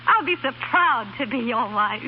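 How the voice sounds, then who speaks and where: Actress as high-voiced sweetheart, hissy old radio. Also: female voice, lively intonation, moderate pace, close to an everyday voice. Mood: bright, proud. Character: devoted young sweetheart of a doctor, plucky, tender, supportive. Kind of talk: 1930s radio drama acting. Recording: muffled, hissy 1930s radio broadcast.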